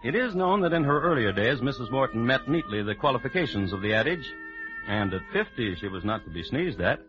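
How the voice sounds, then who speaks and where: A man's voice: mid-range voice, very animated, fairly quick. A man narrating an old radio drama from a script, in a wry, arch and ornate manner. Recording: dull vintage radio recording with music.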